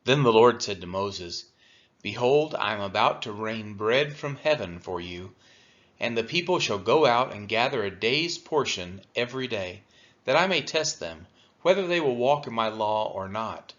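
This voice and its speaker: A man's voice: fairly low pitch, expressive, normal speed, fairly bright tone. A man reading scripture aloud expressively, as a reader for a church. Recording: dull, lo-fi sound.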